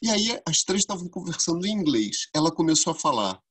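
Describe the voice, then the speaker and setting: Man, husky, slightly high voice, animated storyteller, casual podcast chat. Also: brisk. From Brazil. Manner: animated storyteller, voice jumps high when acting out quotes. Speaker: podcast co-host.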